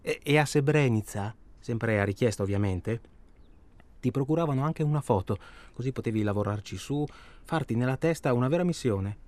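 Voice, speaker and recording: An actor playing a self-absorbed, stalling hunter in a naturalistic radio drama, rambling and irritable. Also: male voice, medium pitch, fairly quick, darkish tone, lightly acted. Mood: hesitant, self-justifying. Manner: hesitant, rambling, irritable, colloquial. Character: self-absorbed, stalling male tourist hunting human prey.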